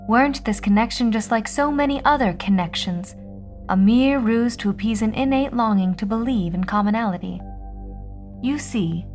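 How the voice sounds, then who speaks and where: A woman's voice: mid-range voice, close to an everyday voice. A world-weary switchboard operator played by a woman from a 1950s audio drama. She delivers her monologue with sardonic, theatrical deliberation in a mid-range voice, with faint music underneath.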